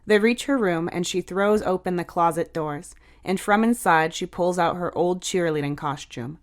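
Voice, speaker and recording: A woman's voice: on the low side, moderate pace. A woman reading scripted fiction aloud as an audiobook narrator.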